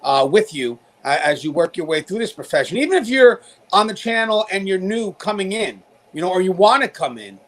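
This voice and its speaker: A man with a high-pitched voice hosts a talk show. He speaks straight to his viewers in a conversational, emphatic style, urging them along. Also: sing-song, a little fast, hardly any pauses, fairly bright tone. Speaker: show host, corrections professional.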